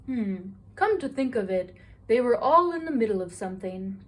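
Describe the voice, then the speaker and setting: A woman voicing an eager, boastful young male storyteller in a children's storybook, excited and earnest. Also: a little high, moderate pace, lightly acted.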